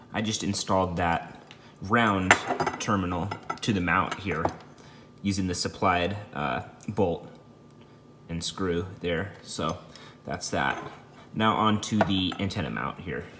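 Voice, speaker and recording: A man with a low voice walks through a hands-on job in a plain, matter-of-fact way. His delivery is casual, like someone hosting a DIY video. Clear clicking and clattering noise sits around his speech. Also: slow pace. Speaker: host of a DIY install video. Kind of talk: casual how-to narration.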